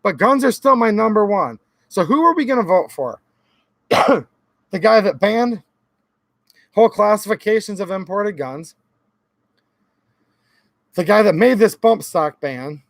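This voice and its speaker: A hoarse man hosting his own gun channel, talking earnestly and casually straight to his viewers, often calling them guys. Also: high voice, lively intonation, a little slow, pauses often, no echo. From Michigan. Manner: earnest, talks directly to viewers as guys, repeats himself. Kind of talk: casual talk to camera.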